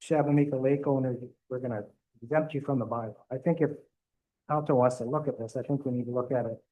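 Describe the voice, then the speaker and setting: Man, council member, measured, cautious, dull muffled audio. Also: mid-range voice, fairly even intonation. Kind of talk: council meeting discussion. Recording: muffled meeting-room audio.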